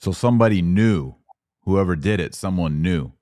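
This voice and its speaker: Low-pitched male host, inquisitive, dry close sound. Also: lively intonation, moderate pace, dark tone, no echo. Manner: inquisitive, brief reactions. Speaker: podcast host. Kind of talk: interview questions in casual talk.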